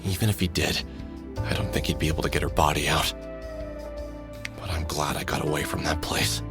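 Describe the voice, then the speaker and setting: A man's voice: rumbling low, steady tone, brisk. An actor playing a weary, cynical middle-aged man in a sci-fi visual novel, dry and sardonic, muttering observations to himself. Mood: uneasy. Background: music.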